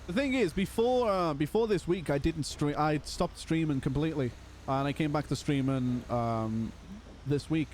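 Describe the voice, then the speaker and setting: This is a young man whose voice is worn hoarse from a long stream. He sits slightly higher in pitch than most men and chats casually, laughing often. A faint hum of noise sits under him. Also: moderate pace, darkish tone. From Liverpool, England. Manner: chatty, laughs often, calls people brother. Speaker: streamer playing a roleplay game. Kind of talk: casual live-stream chat.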